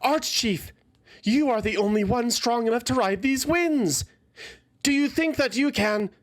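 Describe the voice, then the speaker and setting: A man's voice: very high-pitched, measured pace, voice pushed up. A man voicing a loyal young female warrior in a fantasy audio story, urgent and pleading. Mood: desperate pleading.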